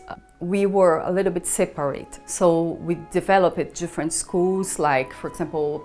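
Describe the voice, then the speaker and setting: Italian-Brazilian-accented woman conductor, low voice. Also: a little mellow. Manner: thoughtful, searching for words, hums rhythms. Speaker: orchestra conductor. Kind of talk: promotional interview talk.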